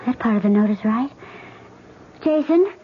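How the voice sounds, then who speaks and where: Headstrong, anxious leading lady played by an actress, high and insistent, vintage radio drama, dull recording. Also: animated, normal speed, slight background noise, lightly acted. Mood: nervous, fearful. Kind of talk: old radio drama acting.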